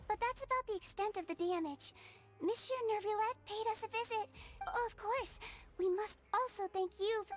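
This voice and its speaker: A woman voicing a small, cheerful, childlike fairy companion with a squeaky voice in an anime-style game.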